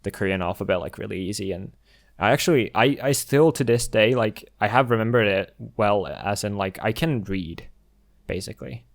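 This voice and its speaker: Young Finnish-native host, low voice, dry close sound, easygoing and halting. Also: male voice, dry recording. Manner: relaxed, hesitant, many restarts and fillers. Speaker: podcast host. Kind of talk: casual chat.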